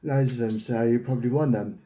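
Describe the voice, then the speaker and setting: Mellow, rambling English man in echoey room. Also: mid-range voice, steady tone, fairly quick. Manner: hesitant, rambling. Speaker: prank caller. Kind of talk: casual phone chat. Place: small domestic room, indoors. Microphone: camera at about arm's length.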